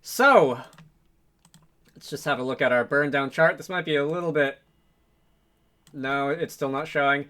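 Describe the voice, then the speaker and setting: A man with a fairly high, very bright voice hosts a casual screen-recording tutorial. He speaks informally and unscripted, often musing to himself as he goes. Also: a little slow, long pauses. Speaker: host of a game development tutorial series.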